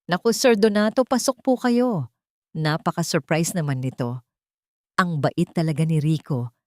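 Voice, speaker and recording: A woman's voice: sits low, a little slow, a little mellow, no room sound, clean studio sound. A woman voicing a greedy, scheming wife in an audio story, gushing with sugary, insincere sweetness. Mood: gushing, fawning.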